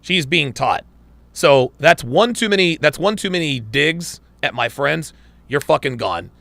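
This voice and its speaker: A blunt, emphatic man reacts on a live podcast. His gravelly voice jumps all over in pitch, and the sound is close and dry. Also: lively intonation, a little slow, a bit bright, no echo. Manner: blunt, emphatic, repeats himself for punch. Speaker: podcast host reacting to a video.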